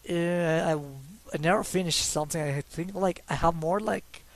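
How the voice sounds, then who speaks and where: A man's voice: a little high, moderate pace. A young man from Mexico, speaking English with a Mexican Spanish accent, talks casually and hesitantly about his drawings, with many fillers and restarts. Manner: self-conscious, laughs a little. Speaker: hobby artist.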